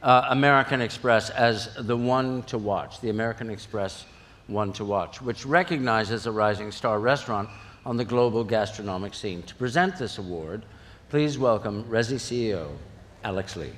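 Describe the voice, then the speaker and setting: A man's voice: lowish voice, unhurried, a bit bright, a little hiss or hum. A man hosting an awards ceremony, announcing with emphasis and the odd light joke. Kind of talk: live event announcing. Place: large event hall. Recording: reverberant hall.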